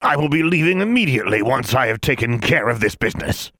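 A man's voice: medium pitch, lively intonation, fairly bright tone, no room sound, voice pushed up. Audiobook role: stuffy official, secretly a girl in disguise, queasy and pompous, voice pushed up well above natural male narrator acting. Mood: stiff, queasy. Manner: formal, pompous, deliberate. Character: disguised girl bluffing as stuffy, unwell official Mister Brown. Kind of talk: audiobook character voice.

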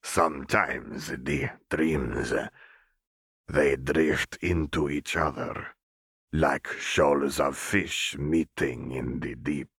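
A huge, cryptic fish-folk cook, rumbling out halting, broken phrases. His voice sits extremely low and gravelly, with a husky edge, and each word drags out very slowly. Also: steady tone, fairly bright tone, no room sound, studio quality, full character voice. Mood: calm, cryptic.

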